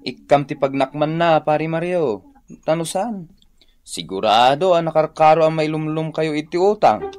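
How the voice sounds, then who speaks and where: A man's voice: on the high side, animated, moderate pace, darkish tone, natural voice. A man playing an earnest friend giving sober advice in an Ilocano-accented radio drama. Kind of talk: Filipino radio drama acting.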